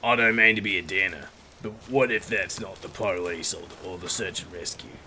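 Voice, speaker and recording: A man's voice: low-pitched, brisk, bright, forceful tone. A man voicing a blunt, cautious survivor, crude and repeating himself when he insists. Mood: insistent. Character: blunt, cautious adult male survivor mate. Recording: noisy game audio with crickets and clicks.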